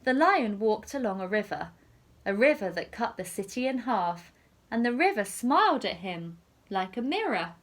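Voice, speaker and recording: A woman teacher reading a picture story aloud to young children in an expressive, deliberate storytelling manner. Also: medium pitch.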